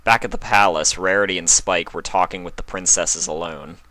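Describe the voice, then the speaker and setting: A man narrates a scripted story in a dramatic reading style. His voice is on the low side, with a bright, forceful tone. The recording is close and dry, with little room sound. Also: moderate pace, no echo, poor audio. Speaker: fan fiction reader, narrator.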